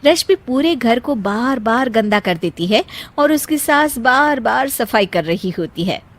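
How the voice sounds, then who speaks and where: A woman's voice: a little high, expressive, a little slow. A woman narrating a scripted cartoon story as a storyteller.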